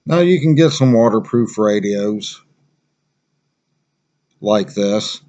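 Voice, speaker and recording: A man giving a casual product review in a plain-spoken, halting, conversational way. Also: fairly low pitch, expressive, dark tone, highs cut off.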